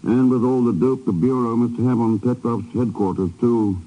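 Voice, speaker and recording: An actor playing a cool, resolute secret agent with a dry, understated manner in a 1950s radio drama. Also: male voice, mid-range voice, normal speed, natural voice. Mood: wry, cool. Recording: dull, noisy old radio broadcast.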